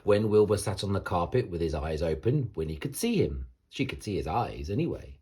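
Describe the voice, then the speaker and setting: A man reading a children's picture book aloud as an expressive, dramatic bedtime story narration, with a husky voice. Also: low-pitched, fairly quick.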